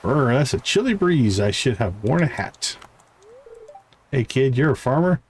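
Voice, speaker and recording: A man's voice: medium pitch, lively intonation, a little slow, a little hiss or hum. A man livestreaming a game, reading character dialogue aloud with stumbles and short asides. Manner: reads on-screen text aloud with stumbles and asides. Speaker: game streamer.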